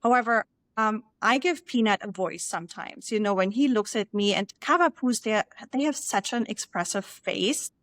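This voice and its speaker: German lady hosting a podcast, chatty, laughs a lot, mid pitch. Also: normal speed, no room sound. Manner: chatty, warm praise, laughs often. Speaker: podcast host. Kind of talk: casual interview chat.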